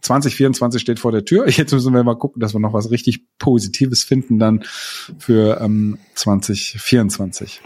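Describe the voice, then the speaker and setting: A man talking in a relaxed podcast chat, loose and conversational, with many fillers and tag questions. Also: mid-range voice, normal speed, breathy edge. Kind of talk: casual chat.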